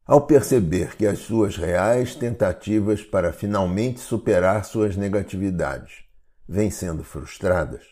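A Brazilian man narrating a scripted guided meditation in a measured, formal, instructive way. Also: deep voice, mellow, slightly rough.

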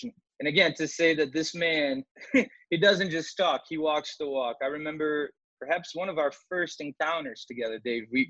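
A man from Brazil with a Brazilian accent, Portuguese his first language, telling a story as a church musician guest in an animated way with laughs. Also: mid-range voice, dry recording, lo-fi sound. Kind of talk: storytelling interview answer.

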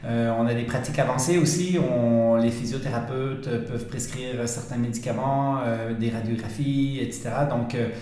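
A man's voice: mid-range voice, fairly even intonation, normal speed, hardly any pauses, mellow. A man in his forties with a Quebec French accent touched by Swiss, explaining things casually as an interview guest. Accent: Quebec French mixed with some Swiss. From Switzerland and Quebec, Canada. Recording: very echoey, reverberant room.

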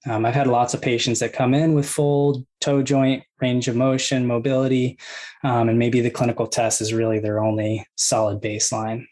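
A man in his thirties, a physical therapist, calmly explaining his clinical approach with many ums and you-knows. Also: medium pitch. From United States. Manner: measured, filler-heavy, explains step by step.

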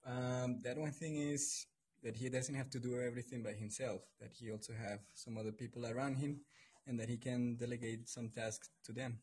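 A man's voice: medium pitch, level tone, normal speed, a little mellow. A man from Colombia, answering questions plainly in class. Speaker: student.

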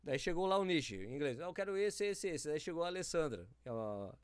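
Animated Brazilian man, dry close mic. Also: a little high, fast, clean tone, dry recording. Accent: Brazilian Portuguese. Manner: animated storyteller, reads listener messages aloud. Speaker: podcast co-host. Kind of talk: casual chat among running friends.

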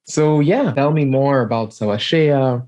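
Relaxed interviewer; informal, encouraging, mid-pitched. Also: unhurried. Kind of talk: casual interview.

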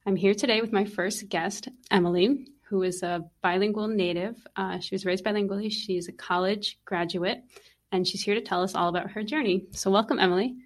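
A woman's voice: mid-range voice, fairly even intonation, a little fast. A woman hosting a podcast interview, encouraging her guest with frequent agreement. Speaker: podcast host.

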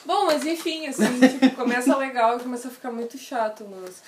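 A woman's voice: high-pitched, brisk, recorded from a distance. Podcast co-host, woman, loose slangy talker, recorded in a roomy space set back from the mic. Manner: slangy, rambling, laughs often. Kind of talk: casual chat.

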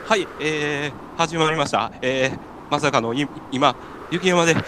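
Man acting earnest stranded Kansai podcaster, highish, noisy recording. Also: moderate pace, lightly acted. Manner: earnest, exasperated retorts and pleas. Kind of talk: amateur comedic radio drama. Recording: clear background noise.

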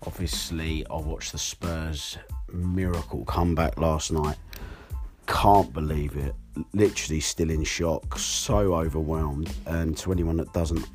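A hoarse young man hosting his podcast alone, talking candidly and rambling with fillers. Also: rumbling low, fairly even intonation, unhurried, a little mellow, soft background music. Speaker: podcast host. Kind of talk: solo podcast monologue.

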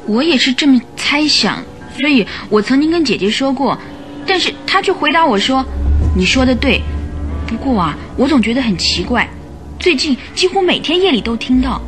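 A woman's voice: fairly high pitch, a bit bright. An actress playing an anxious young woman who recounts events earnestly in a radio drama. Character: anxious young woman client recounting her sister's death. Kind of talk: Mandarin radio drama acting. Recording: radio drama with music behind.

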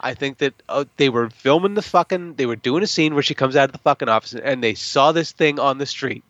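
A man's voice: medium pitch, fairly quick, fairly bright tone, no echo, dull audio. A man co-hosting a podcast, talking emphatically about a film with heavy swearing. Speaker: podcast co-host. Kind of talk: casual movie review chat.

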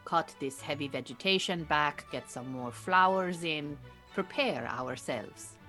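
An Iranian refugee woman, a patient and earnest beekeeper in an audio play. Her voice sits low for a woman. She phrases things in a measured, dry way, with music playing faintly behind her. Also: pushed and bright. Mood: earnest, firm. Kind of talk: naturalistic audio drama dialogue.

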